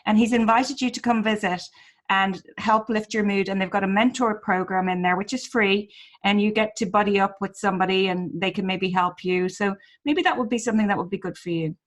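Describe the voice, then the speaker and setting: A woman hosting a live webinar on a video call, warmly encouraging and supporting a caller. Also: medium pitch, fairly even intonation, fairly quick. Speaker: webinar host.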